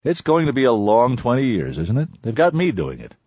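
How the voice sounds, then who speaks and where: A man voicing a dry, wisecracking writer, only a little higher than his own voice. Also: wildly swooping pitch, fairly quick, no echo, dull audio.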